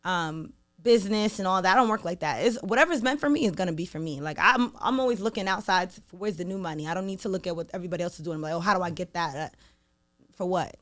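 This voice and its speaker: A young woman with a New York accent chatting casually as an interview guest, talking in an animated way with lots of "like" fillers. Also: lowish voice, fast talker. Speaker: guest, radio sales executive.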